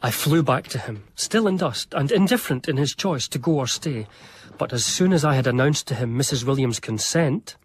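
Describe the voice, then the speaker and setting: An actor playing an eager, ingratiating gentleman, courteous and wordy, in a period radio drama. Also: medium pitch, expressive, moderate pace, breathy edge. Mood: pleased, narrating. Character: eager, ingratiating Scottish gentleman, self-satisfied narrator.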